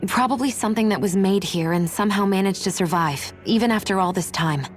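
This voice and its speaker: A woman's voice: mid-range voice, fairly quick, soft background music, lightly acted. Woman playing a cool, pragmatic young female warrior. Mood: matter-of-fact. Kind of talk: dramatic video game dub acting.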